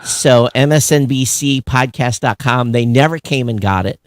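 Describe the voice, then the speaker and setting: A man's voice: mid-range voice, normal speed, no echo, studio quality. A middle-aged man co-hosting a podcast, chatting casually about tech news in a blunt, sarcastic way. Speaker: podcast co-host.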